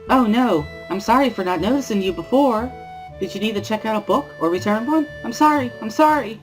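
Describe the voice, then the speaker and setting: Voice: mid-range voice, expressive, fairly quick, soft background music, pitched above the performer's own voice, lightly acted, low-quality recording. A performer voicing a jittery, over-apologetic young woman librarian in a dramatic reading, flustered and rambling. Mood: frantic, apologetic.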